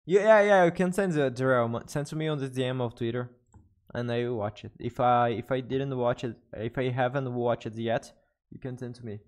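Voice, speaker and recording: Young Brazilian man streaming in halting English. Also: medium pitch, fairly even intonation. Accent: Brazilian Portuguese. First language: Portuguese. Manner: hesitant, self-correcting non-native English. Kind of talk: casual live-stream chat with viewers. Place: bedroom streaming setup indoors. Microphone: studio mic on desk with headphones.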